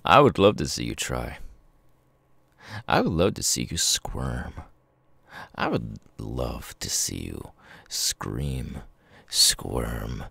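A man voicing a sadistic, gleeful masked killer in an ASMR roleplay, taunting the listener in a gravelly voice. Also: a bit bright, dry recording, heavily acted. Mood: sadistic, hushed. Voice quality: creaky, gravelly low voice. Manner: slow, taunting, drawn-out with sudden swings. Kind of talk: ASMR villain roleplay, close and menacing.

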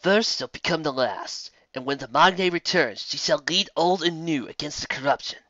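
A man's voice: on the high side, normal speed, sharp tone, no room sound, muffled recording. A man doing a solemn stallion palace guard. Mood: grave. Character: serious male pony palace guard.

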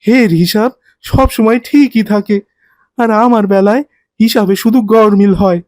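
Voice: medium pitch, a little slow, darkish tone, dry recording, studio quality, lightly acted. An actor playing a hardworking, aggrieved ten-year-old boy minibus helper in a cartoon, with colloquial Bangladeshi speech and a plain-spoken, complaining manner. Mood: aggrieved, protesting. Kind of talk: cartoon voice acting.

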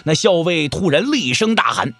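Male narrator for martial-arts audio drama: slightly high, husky, very lively intonation. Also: moderate pace, dry recording, faint music behind.

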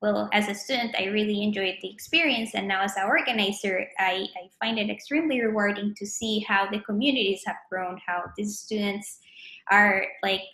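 Woman in her thirties, Colombian Spanish accent, mid-pitched voice, thoughtful and self-correcting, echoey home room, webcam sound. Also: fairly even intonation, moderate pace, sharp tone. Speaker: mathematician. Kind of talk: recorded interview answers. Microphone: webcam at desk distance.

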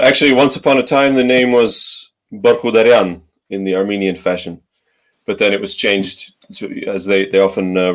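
Man, 30s, from Russia, relaxed, dry humor, lowish voice. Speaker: guest singer. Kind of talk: video call conversation. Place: room at home. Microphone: headphones with webcam on a video call.

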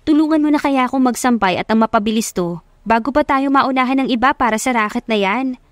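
A cheerful young working woman from the slums as a female narrator puts her on, in a radio drama love story. She talks confidently and casually, with a raised pitch and a dry, close sound. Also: quick pace, no room sound, close to an everyday voice. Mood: persuading.

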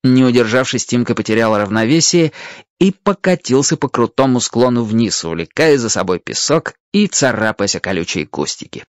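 A man's voice: medium pitch, lively intonation, normal speed, no echo. A man narrating a children's adventure story expressively from a script, as a storyteller.

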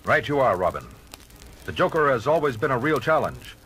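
A man's voice: mid-range voice, sing-song, fairly quick, a bit bright, slightly rough, full character voice. An actor playing a grave, authoritative male superhero crimefighter in a record radio drama, speaking formally and in clipped phrases. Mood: grave, businesslike. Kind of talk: radio-drama acting on a children's story record. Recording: vintage story record with dramatic music.

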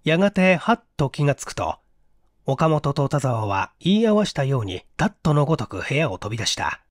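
Male audiobook reader for detective fiction. Theatrical, fairly high voice, lively intonation. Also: brisk, no echo, clean studio sound. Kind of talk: dramatic scripted narration of a mystery story.

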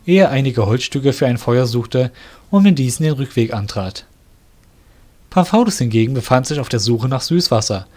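A man reading the narration of an amateur radio play, in a steady, measured way. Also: medium pitch. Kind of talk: scripted storytelling narration.

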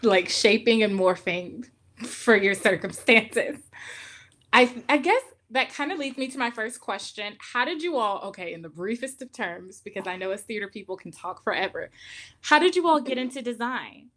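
A woman's voice: fairly high pitch, animated, normal speed, a bit bright. A woman moderating a video call panel, asking questions in a friendly, playful way. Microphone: webcam on video call.

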